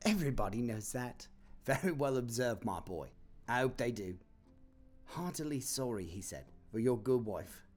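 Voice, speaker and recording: A man voicing a humble, grieving clerk and father who talks softly and tenderly, with halting restarts, in an audio drama. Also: mid-range voice, animated, soft background music, lightly acted.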